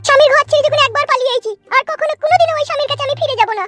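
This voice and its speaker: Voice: very high-pitched, racing, fairly bright tone. A voice actor playing a devoted, defiant runaway village wife in a comic cartoon, cooing and insistent, with music underneath.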